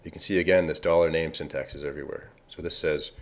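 A man's voice: extremely low pitch, steady tone, fast. A man giving a casual screencast tutorial, explaining configuration code and correcting himself now and then. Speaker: software developer presenting a tutorial. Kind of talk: screencast walkthrough.

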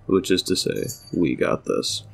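A man voicing an unflappable, dryly teasing young cool guy in an animated comic dub, with a gravelly, husky deadpan. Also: unhurried, soft background music, lightly acted. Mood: laid-back.

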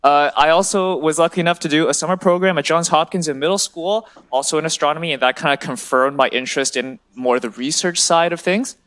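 Young guy grad student doing a casual science lecture, slightly high voice, pretty excited, big lecture hall. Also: a little fast, few pauses. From China and the US. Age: 20s. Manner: enthusiastic, checks in with "right?". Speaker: graduate student speaker. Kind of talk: informal public science lecture.

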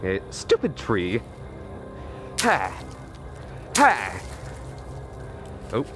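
A man's voice: lowish voice, wildly swooping pitch, drawn-out words, a bit bright, soft background music. A man vlogging outdoors in the woods at night on a handheld camera, stammering nervously with repeated words and voice cracks. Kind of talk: mock-scared comedic night vlog.

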